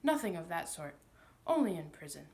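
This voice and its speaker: A woman's voice: on the low side, big pitch swings, normal speed, darkish tone. A woman voicing a pompous, sly older male magician in a storybook, smug and condescending, with a wheedling manner. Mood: evasive.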